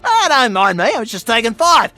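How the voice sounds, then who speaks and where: A man's voice: very high-pitched, very animated, brisk, pushed and bright, faint music behind, heavily acted. An actor playing a manic, scheming male demolitions maniac in a video game, cackling and muttering to himself. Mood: sneaky. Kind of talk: video game character acting.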